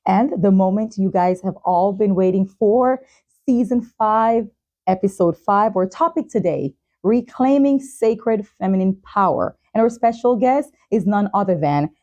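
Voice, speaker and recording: A woman speaks as the host of a talk show. Her voice sits at a medium pitch with a warm, mellow tone. She presents segments and poses questions in interview style. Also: unhurried.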